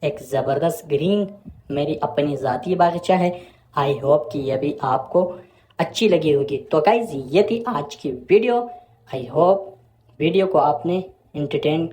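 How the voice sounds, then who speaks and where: A man's voice: fairly high pitch, animated, a little slow, a little mellow. A man hosting a video channel, narrating an outro addressed to his viewers. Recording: faint background noise and ambience.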